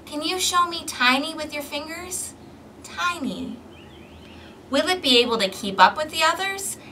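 A woman reading a picture book aloud to young children in an expressive, interactive way, recorded outdoors on a balcony. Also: high voice, unhurried. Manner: expressive, clearly paced, questioning as if to young children. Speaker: storytime host for a community service. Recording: distant mic with outdoor background noise.

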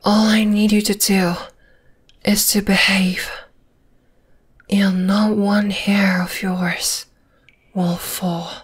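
A woman voicing a possessive, gently dominating spider monster girl in an ASMR roleplay, in a slow, deliberate, breathy whisper. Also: mid-range voice, little pitch movement. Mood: possessive, coaxing. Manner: slow, deliberate, soothing yet menacing.

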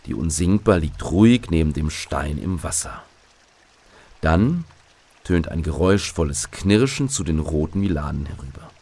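A man playing the calm, measured narrator of a children's radio adventure, reading scripted narration. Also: rumbling low, husky, heavily acted. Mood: narrating.

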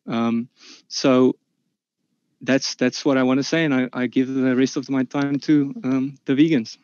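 Afrikaans-speaking man, halting debate speech. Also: mid-range voice, little pitch movement, darkish tone, no echo. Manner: halting, many fillers and restarts. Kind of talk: online debate opening statement. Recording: dull, slightly lo-fi dry sound.

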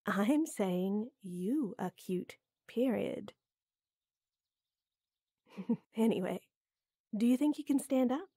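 A woman playing a tall, strong lady knight who flirts and teases softly and coyly in a roleplay audio drama. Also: mid-range voice, animated, slow, pauses often, husky, dry recording, clean studio sound, heavily acted. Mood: amused, flirty. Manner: teasing, coy, soft and intimate with chuckles.

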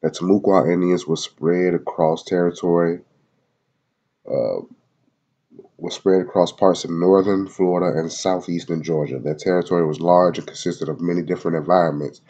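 A man's voice: low voice, steady tone, moderate pace, dark tone, lo-fi sound. A man with a head cold reading web pages aloud in a casual video, with slangy asides and many fillers. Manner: loose slangy asides between read passages, many fillers. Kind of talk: casual screen-recorded reading and commentary. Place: at home in Florida.

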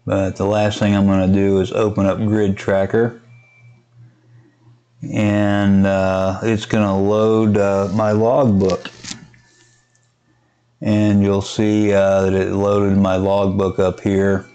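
A man giving a casual, unscripted software tutorial, hesitant and thinking aloud as he goes. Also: lowish voice, completely flat delivery, slow pace, clean tone. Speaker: amateur radio hobbyist giving a software tutorial. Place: indoors at a computer, voice-over of screen recording.

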